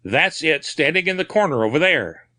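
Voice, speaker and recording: A man's voice: a little high, very animated, moderate pace, bright, forceful tone, voice pushed up. A man voicing a refined but haunted asylum patient, feverish and confiding, who breaks into frantic cries.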